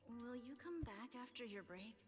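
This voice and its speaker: A woman's voice: on the high side, expressive, normal speed, lightly acted. A woman voicing a small, anxious, trusting boy in a dramatic video game, timid and polite. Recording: music behind the voice.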